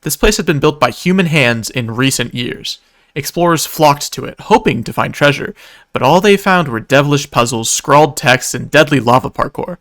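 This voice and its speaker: A man's voice: on the high side, fairly bright tone. A man narrating a scripted recap in a casual, wry way that slips into dramatic storytelling. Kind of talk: scripted narration, video recap of a roleplay event.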